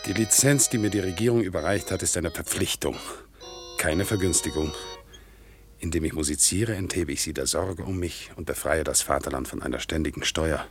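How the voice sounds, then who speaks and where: An actor playing a proud, dutiful one-legged war veteran who works as an organ grinder, speaking in a solemn, deferential way in a radio play. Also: male voice, low voice, normal speed, natural voice. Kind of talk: 1960s radio play acting. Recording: faint music behind, older radio play recording.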